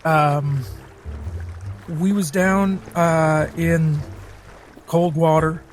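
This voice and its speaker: A man's voice: on the high side, flat delivery, extremely slow, faint music behind. A man playing a confused, laid-back adventurer in improvised tabletop roleplay, speaking in hesitant, casual bursts.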